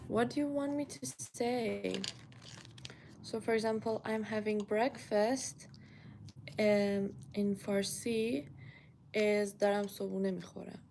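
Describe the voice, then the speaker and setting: A woman's voice: mid-range voice, steady tone, slow, gravelly, a little mellow, a little hiss or hum. A young woman from Iran with a Persian accent, chatting casually to viewers while having breakfast at home. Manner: chatty, thinks aloud while eating. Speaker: vlogger. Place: home kitchen, indoors.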